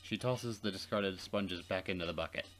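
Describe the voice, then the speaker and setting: Low-voiced man narrating a game. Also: moderate pace, a bit bright. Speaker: narrator of a game let's play. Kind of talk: reading visual novel narration aloud with casual asides.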